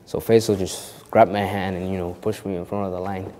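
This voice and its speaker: A man's voice: sits low, flat delivery, fairly quick, warm tone, big-room sound. A young man born in Kenya of a Somali family, telling his story in an interview with lots of restarts and "you know". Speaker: actor interviewed.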